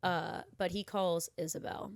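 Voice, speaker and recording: A woman's voice: lowish voice, fairly even intonation, measured pace, creaky, no room sound, studio quality. A woman co-hosting a podcast in casual chat, speaking informally and with slangy emphasis. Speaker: podcast co-host. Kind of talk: casual chat reviewing films and books.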